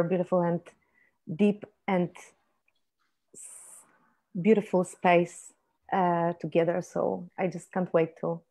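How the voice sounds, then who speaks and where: A woman with a Polish accent introducing herself informally, excited but halting. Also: fairly low pitch, gravelly, no echo. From Poland. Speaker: festival teacher.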